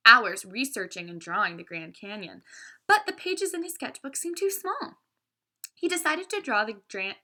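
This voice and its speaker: A woman reads a children's story aloud in a fairly high, bright voice. Her delivery swings widely in pitch and is expressive throughout. She occasionally trips on a word and corrects herself. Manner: animated, with stumbles and self-corrections.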